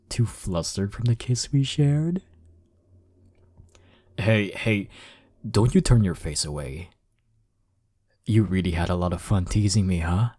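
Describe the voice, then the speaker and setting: Man acting as slow-talking, cocky young romantic rival. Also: on the low side, dark tone, dry recording, clean studio sound. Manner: teasing, chuckling, sighing, stammering when flustered. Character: cocky, teasing young man flustered by his crush. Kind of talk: intimate romantic audio roleplay.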